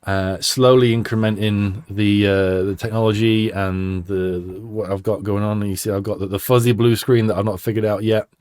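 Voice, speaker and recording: A man's voice: on the low side, steady tone, normal speed, few pauses, a little mellow, no echo. A man hosting a casual podcast, chatty and self-deprecating, a little stuffy from a cold. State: slightly stuffy nose from a cold. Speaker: podcast host. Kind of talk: casual chat.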